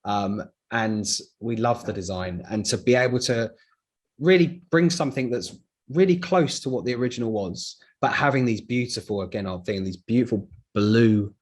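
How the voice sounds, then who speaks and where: A man presenting in a casual, enthusiastic product chat, with a slightly husky voice. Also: on the low side, moderate pace. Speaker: card company presenter.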